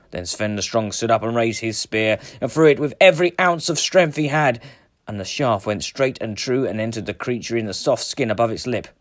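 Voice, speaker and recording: A man reading a children's story aloud with a dramatic, expressive delivery. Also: mid-range voice, no room sound, studio quality. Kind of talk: animated children's story narration.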